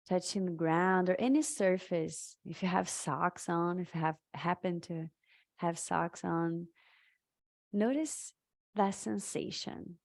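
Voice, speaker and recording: A woman leading a live guided meditation in a soothing, halting way, leaving long pauses between short phrases. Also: lowish voice, gravelly, darkish tone.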